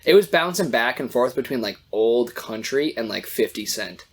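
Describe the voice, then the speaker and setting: A young man co-hosting a casual podcast chat, a little hoarse from losing his voice, telling animated stories and swearing freely. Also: medium pitch, normal speed, a little hiss or hum. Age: young adult. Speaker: podcast co-host.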